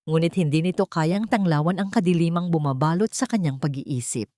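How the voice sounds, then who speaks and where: A woman with a Filipino accent narrating a dramatic audiobook with expressive storytelling. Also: low voice, a little fast, dry recording, clean studio sound.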